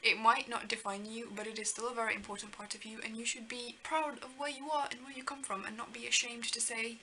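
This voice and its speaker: A woman's voice: a little high, fairly even intonation, brisk, pushed and bright, slight background noise, low-quality recording. A young woman from Kenya, talking reflectively to camera in a rambling, earnest, self-deprecating way. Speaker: vlogger. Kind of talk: reflective casual talk to camera.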